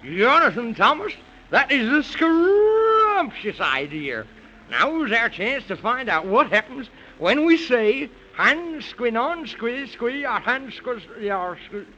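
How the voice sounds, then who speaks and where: A whimsical, flustered moon man, very high and piercingly bright, swooping through folksy exclamations. The sound is an old, slightly scratchy children's radio serial. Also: very animated, takes it slowly, exaggerated voice. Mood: excited. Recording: scratchy, muffled 1938 radio recording.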